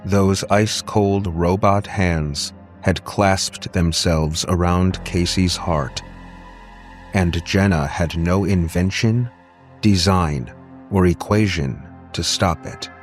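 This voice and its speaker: A man's voice: sits low, slow pace, faint music behind. A man reading a scripted dramatic story as an audiobook narrator.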